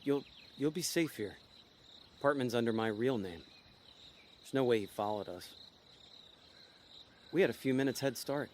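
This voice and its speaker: A man's voice: medium pitch, a little mellow, a little hiss or hum, close to an everyday voice. An actor playing a laid-back, cocky small-time con man in a noir audio drama, wry and slangy.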